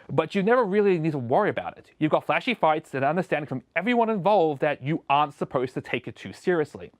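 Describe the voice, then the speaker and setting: A man's voice: a little high, no room sound. A man presenting a scripted opinion video essay as a show host, recorded as a close voice-over.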